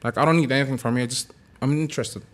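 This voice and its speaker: A man in his thirties from Sudan, a podcast guest talking in a relaxed, easygoing way and laughing often. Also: mid-range voice, racing. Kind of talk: casual chat.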